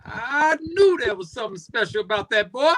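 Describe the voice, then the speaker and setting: A man voicing an excitable, fawning middle-aged male restaurant owner in a comedy table read. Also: medium pitch, moderate pace, pitched above the performer's own voice. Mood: astonished, fawning.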